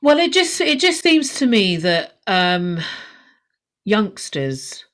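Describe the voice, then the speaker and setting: A chatty woman in her forties co-hosts a casual podcast, her pitch swinging up and down with lively expression. She laughs readily and swears freely. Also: slow. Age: 40s. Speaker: podcast co-host. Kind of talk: casual chat.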